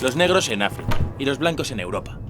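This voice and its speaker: An actor playing an arrogant young skinhead in an audio drama, insolent, cold and defiant. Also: male voice, medium pitch, very animated, quick pace, over loud music, close to an everyday voice. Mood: cold defiance.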